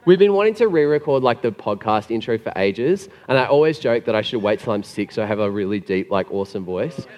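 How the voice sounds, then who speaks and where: A man preaching a sermon with a gravelly, hoarse voice after being sick, chatty and joking. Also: fairly low pitch, lively intonation, fairly quick, a little mellow. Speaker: pastor. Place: church hall. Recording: long hall reverb.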